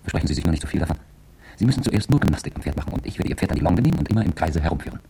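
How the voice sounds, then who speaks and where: A man's voice: low-pitched, lively intonation, rapid-fire, creaky, warm tone, slightly rough, heavily acted. An actor playing a coolly polite, determined Chinese army horseman, giving precise, courteous instruction in a radio drama with background noise. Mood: cool, instructing.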